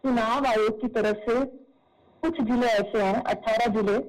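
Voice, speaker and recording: A woman's voice: fairly high pitch, fairly even intonation, unhurried, darkish tone. A woman official explaining procedure step by step, repeating herself, on a played-back phone call recording. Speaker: government election official. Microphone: phone call recording replayed at press conference.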